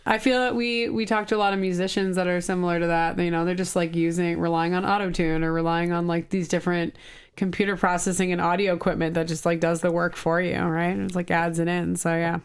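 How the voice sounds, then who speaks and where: A woman's voice: fairly low pitch, level tone, fast, clean tone. A woman hosting a podcast interview, chatting loosely with many fillers. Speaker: podcast host. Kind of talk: casual interview chat.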